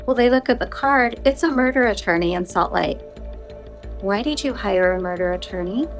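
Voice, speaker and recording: An American woman gives a blunt, conversational recollection in an interview. Her medium-pitched voice is heavy with creak, and soft music plays underneath. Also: animated, moderate pace.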